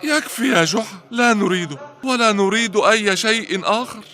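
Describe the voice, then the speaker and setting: A man voicing a jittery, complaining sidekick in a cartoon, fretful and excitable in his delivery. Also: sits high, rapid-fire. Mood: fearful pleading. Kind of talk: cartoon voice acting.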